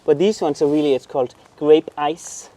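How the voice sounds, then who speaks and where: A man's voice: on the high side, moderate pace, warm tone, a little hiss or hum. A man from southern Denmark with a Danish accent, hosting a casual garden tour outdoors and exclaiming eagerly over the plants. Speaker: garden show host.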